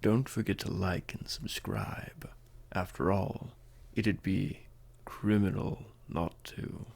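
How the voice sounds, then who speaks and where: A man playing a gloating, theatrical criminal mastermind in a soft-spoken ASMR roleplay, breathy and often near whispering, with pompous, mock-polite, drawn-out musing. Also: low voice, steady tone, exaggerated voice. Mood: smug farewell. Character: gloating, theatrical criminal mastermind villain, supremely confident yet cautious.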